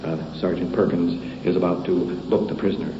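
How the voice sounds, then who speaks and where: A man's voice: low voice, little pitch movement, brisk, mellow. An American man reporting on location with police as a 1950s radio documentary narrator, hesitantly piecing his account together as he goes. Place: on location with police, vehicle and ambience noise. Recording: muffled, very noisy 1950s field radio recording.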